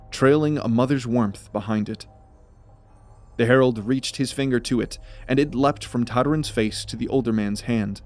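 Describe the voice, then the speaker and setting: A man's voice: medium pitch, a little mellow. A man narrating a fantasy audio drama as a scripted, dramatic story.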